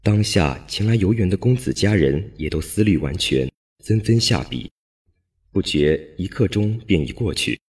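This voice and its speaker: Very deep male storytelling narrator. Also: expressive, moderate pace, darkish tone. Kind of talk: scripted storytelling narration for audio drama.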